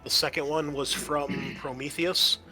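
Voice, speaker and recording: A man's voice: a little high, level tone, unhurried, music in the background. A man speaking as game master, casually explaining a tabletop horror game to his players.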